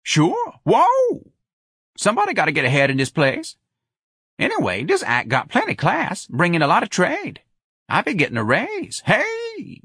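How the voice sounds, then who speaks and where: A man voicing a sly, put-upon young bar porter in an audiobook, grumbling and defiant with drawn-out exclamations. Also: sits high, wildly swooping pitch, a bit bright, breathy edge, dry recording, clean studio sound, voice pushed up, full character voice. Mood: eager.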